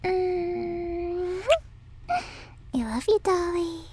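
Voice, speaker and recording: A woman's voice: very high voice, extremely slow, darkish tone, slight background noise, heavily acted. A woman playing a sweet, anxious young caretaker in an ASMR roleplay, speaking in a girlish, coaxing and submissive way. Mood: tender, reluctant.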